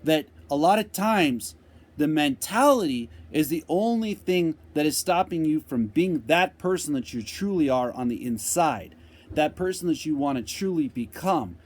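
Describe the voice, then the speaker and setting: A man giving an unscripted motivational talk, speaking slowly and deliberately with repeated phrases, answering a viewer's question. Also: on the high side, animated. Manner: slow, deliberate, repetitive phrasing.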